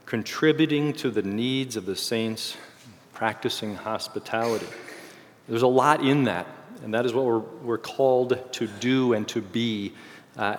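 A man giving an informal, explanatory Bible lesson in halting phrases, his voice a little worn from a cold. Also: medium pitch. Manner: halting, explanatory delivery with self-corrections. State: getting over a cold, voice slightly failing. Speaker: church teacher leading a series on discipleship. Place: speaking to a group in a large reverberant room. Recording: reverberant hall sound.

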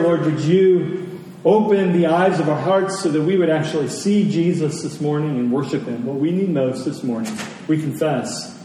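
A man delivers a sermon in an earnest, conversational way, with rhetorical questions and deliberate pauses. His voice sits a little high yet sounds mellow. It reaches the listener from a distance, washed in heavy hall reverb. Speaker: preacher. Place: large reverberant room, church service. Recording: very echoey large hall, distant, noisy.

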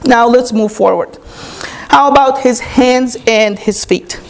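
A woman whose first language is Arabic delivers a teaching sermon. Her voice sits a touch high. She keeps checking in with the congregation as she explains. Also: takes it slowly, a little mellow, low-quality recording. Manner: calls "Amen?" to the congregation, explains step by step. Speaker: pastor.

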